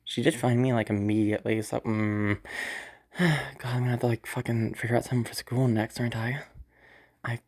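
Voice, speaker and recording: A woman voicing a husky, cold sociopath. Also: a bass voice, level tone, a little fast, darkish tone. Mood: brooding. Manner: muttering to herself, dry laughs, frequent whispers and swearing. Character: cold rich sociopathic young woman, calculating. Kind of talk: audio roleplay monologue.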